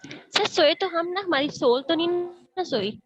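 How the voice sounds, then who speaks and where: A woman's voice: extremely high pitch, expressive, measured pace, dull audio. A woman answering deferentially as an audience member in a class discussion. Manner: deferential, addresses speaker as sir.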